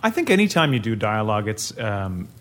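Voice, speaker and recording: A man's voice: on the low side, big pitch swings, brisk, fairly bright tone. A male opera singer talking animatedly in a panel discussion, laughing and restarting sentences.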